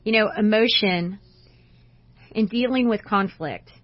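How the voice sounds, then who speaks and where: A woman with a loud, strong voice, co-hosting an inspirational talk show as a coach in a passionate, conversational way. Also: mid-range voice, measured pace, no echo. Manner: passionate, big personality. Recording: dry, dull lo-fi audio.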